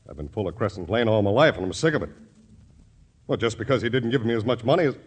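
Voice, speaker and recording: An actor playing a fed-up, defensive local neighbor in a vintage radio drama, talking in a halting, folksy way. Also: male voice, lowish voice, expressive, slightly rough. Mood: defensive, indignant.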